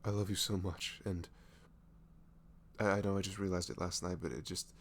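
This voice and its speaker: Male actor as goofy, tender best friend for romantic ASMR audio drama; low register, vocal fry, halting and sighing, faint noise behind. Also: steady tone. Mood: nervous, earnest. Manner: halting, stammering, sighing, breathy laughs, often dropping to whispers. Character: nervous, dorky male best friend confessing his love, tender and goofy. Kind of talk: roleplay ASMR audio drama, intimate and conversational.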